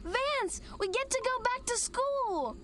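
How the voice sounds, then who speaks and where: Woman playing an excited, high-pitched young student. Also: takes it slowly, soft background music, exaggerated voice. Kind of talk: scripted audio drama acting.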